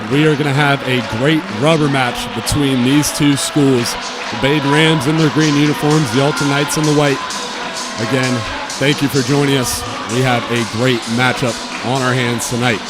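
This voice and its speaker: A man's voice: medium pitch, fairly even intonation, moderate pace, loud music behind the voice. A man doing live play-by-play commentary of a basketball game from inside a school gymnasium. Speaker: play-by-play announcer. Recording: loud gym crowd and court noise. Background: crowd, bouncing basketball.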